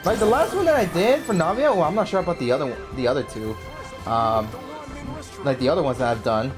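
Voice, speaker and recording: Animated young male gamer. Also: on the high side, a little fast, music in the background. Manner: informal, slangy, repetitive. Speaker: gaming video host. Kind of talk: casual reaction commentary over gameplay.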